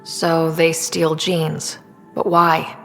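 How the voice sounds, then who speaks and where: An actress playing an earnest, idealistic young human explorer who leads a team in a video game, direct and sincere. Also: female voice, low voice, monotone, extremely slow, creaky, soft background music, heavily acted. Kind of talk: naturalistic video game acting.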